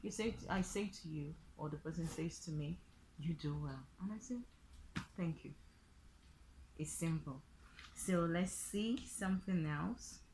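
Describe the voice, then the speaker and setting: Low-voiced Nigerian woman teaching informally. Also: unhurried, a little mellow, slight background noise, low-quality recording. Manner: explains step by step, repeating phrases with examples. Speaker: online language teacher and vlogger. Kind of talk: informal spoken tutorial.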